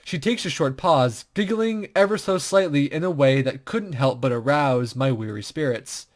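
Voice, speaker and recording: Chatty gamer doing a let's play, mid pitched voice, pokes fun at themself, reads game text out loud. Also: normal speed. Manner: chatty, self-deprecating, giggly asides. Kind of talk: casual gaming commentary and reading game text aloud.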